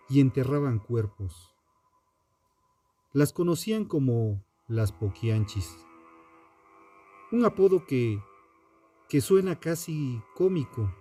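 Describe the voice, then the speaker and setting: A man, a podcast host delivering scripted true-crime narration in a dramatic, deliberate way with pauses. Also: medium pitch, dark tone.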